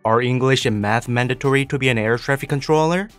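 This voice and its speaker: A man's voice: medium pitch, fast, fairly bright tone, clear, resonant tone. A man reading out scripted questions for an explainer video. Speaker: question reader. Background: background music.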